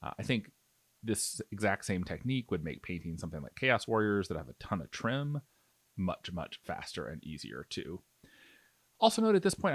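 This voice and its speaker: A man with a fairly low voice narrates a step-by-step tutorial in an informal, conversational manner. His intonation is very lively, moving freely up and down as he explains and reassures. The sound is dry, with very little room in it. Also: no echo. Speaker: miniature painting tutorial host.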